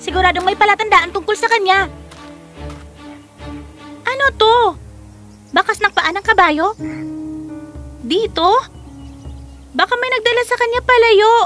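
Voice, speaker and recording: A determined young princess, with a very high, bright voice. She talks to herself dramatically in fairy tale cartoon style, over faint music. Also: measured pace, long pauses, heavily acted. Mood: worried, determined. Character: determined young princess searching for her sister.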